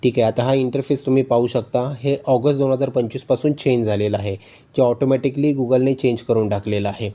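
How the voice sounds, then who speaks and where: Mellow-voiced man giving instructions. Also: mid-range voice, steady tone, fairly quick. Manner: instructional, repeats steps and check phrases. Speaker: tutorial host. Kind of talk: phone tutorial narration.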